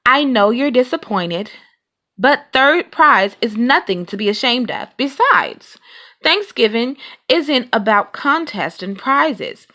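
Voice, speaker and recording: Woman as a gentle, unhurried mama bear. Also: a little high, very animated, full character voice. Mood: consoling. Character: gentle, wise mother bear in a storybook.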